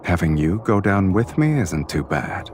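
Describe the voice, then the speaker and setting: A man playing a suave, teasing crime boss in a romance game, speaking in an unhurried, amused way. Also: low voice, big pitch swings, darkish tone, soft background music.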